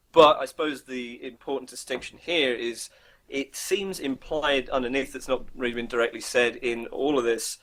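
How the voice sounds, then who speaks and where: A man's voice: mid-range voice, fairly bright tone. A man in a casual, opinionated discussion as a podcast host, thinking aloud in a rambling, informal way and acting out imagined dialogue.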